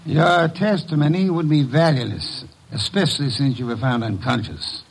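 Imperious older detective, higher-pitched voice. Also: male voice, normal speed, gravelly, slightly rough. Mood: dry, stern. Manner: commanding, dry, clipped. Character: imperious, dry older male master detective. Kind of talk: old-time radio detective drama acting. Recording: noisy vintage radio recording.

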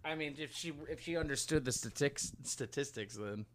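A man chatting casually as a podcast co-host, halting and rambling as he corrects himself along the way. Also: medium pitch. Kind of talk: casual chat.

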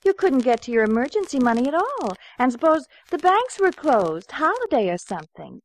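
Conniving maid for old radio play; high, lively voice, wheedling and insinuating. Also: female voice, normal speed, heavily acted, rough recording. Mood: coaxing. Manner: coaxing, insinuating. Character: scheming, sly young female housemaid-companion, coaxing. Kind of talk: old-time radio drama acting.

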